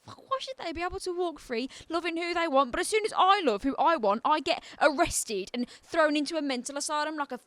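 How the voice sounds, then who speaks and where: A woman's voice: sits high, expressive, fairly quick, hardly any pauses, fairly bright tone, dry recording. A woman playing a defiant, bitter asylum patient in a radio drama, with a probing, wry edge to her talk. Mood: indignant, impassioned.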